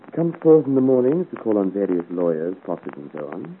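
A deep-voiced, deferential nobleman's henchman. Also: sing-song, fairly quick, narrow, tinny audio. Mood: eager to please. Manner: deferential, smooth-worded, formal. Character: obsequious, efficient male henchman to a nobleman. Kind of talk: 1950s radio serial acting. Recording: thin, noisy old radio recording.

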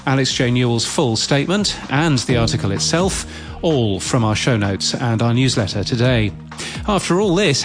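Male news host over prominent music. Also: medium pitch. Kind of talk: scripted news bulletin narration. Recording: music bed behind voice.

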